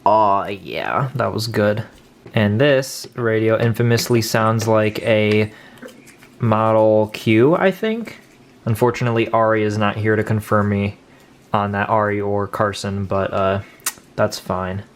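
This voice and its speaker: A man's voice: fairly low pitch, measured pace. A young man casually hosting an unboxing video, informal and prone to swearing. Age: young adult. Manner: informal, crude-joking, swearing. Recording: noisy room recording with clicks.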